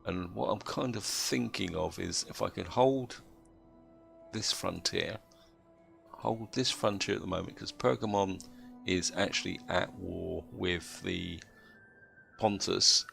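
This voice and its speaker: A man with a cold and a slightly croaky voice, calmly explaining his moves as he plays a strategy game. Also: low voice, unhurried, faint music behind. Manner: steady, explanatory, thinks aloud. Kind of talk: gameplay commentary.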